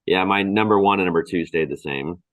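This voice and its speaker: Male co-host, deep voice, dry recording, loose conversational delivery with halting pauses. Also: a bit bright, dry recording, highs cut off. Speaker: board game show host. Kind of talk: casual chat.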